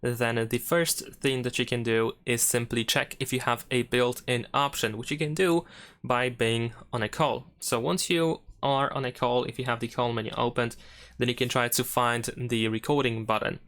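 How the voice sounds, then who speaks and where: A man's voice: mid-range voice, steady tone, moderate pace, a bit bright. A man hosting a tech tutorial, explaining steps in a casual, easygoing way as he goes along. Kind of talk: casual step-by-step tutorial narration.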